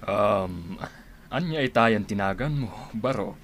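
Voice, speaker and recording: A man acting a kindly gentleman employer in an Ilocano radio drama, measured and courteous, with an Ilocano accent. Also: on the low side, animated, exaggerated voice. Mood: calm, genial. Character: kindly gentleman employer offering a driving job. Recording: loud vehicle-like background noise.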